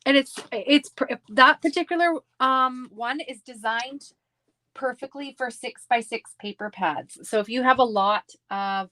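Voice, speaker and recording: A woman's voice: high voice, measured pace, pushed and bright, no echo, rough recording. A woman with a Canadian accent chatting casually in a giggly, rambling way as she hosts a craft stream. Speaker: craft livestream host. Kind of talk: casual chat while crafting.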